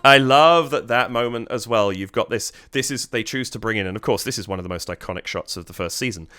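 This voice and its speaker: Male reaction host, low smooth tone, a bit stuffy nosed, dry close sound. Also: quick pace, sharp tone, dry recording. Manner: admiring, thinks aloud, hums melody lines. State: slightly stuffy from a cold. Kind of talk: casual music analysis commentary.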